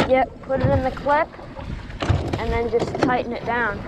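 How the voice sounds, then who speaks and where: A high-voiced teenage boy talks casually to the camera while fishing, calling his viewers guys every few lines. He's on a small open boat, caught on an action camera, with loud engine and water noise all around. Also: fairly even intonation, moderate pace. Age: teens. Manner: chatty, keeps addressing viewers as guys. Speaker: fishing vlogger.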